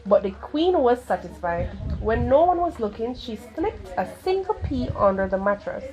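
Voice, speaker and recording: A woman reading a children's story aloud in a careful, expressive storytelling manner, with slight stumbles. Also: on the high side, normal speed. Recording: clearly noisy home recording.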